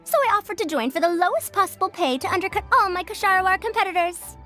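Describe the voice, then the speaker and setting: High, lively bookish young woman. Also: fast, soft background music, heavily acted. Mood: cheerful, confiding. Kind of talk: video game voice acting.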